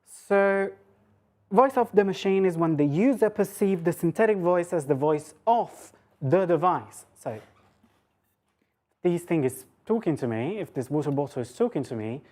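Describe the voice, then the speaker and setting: Low-pitched woman lecturing informally. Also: expressive, moderate pace, warm tone. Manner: hesitant, self-interrupting, thinking aloud with rhetorical questions. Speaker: lecturer giving a talk. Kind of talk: academic lecture, informal and conversational.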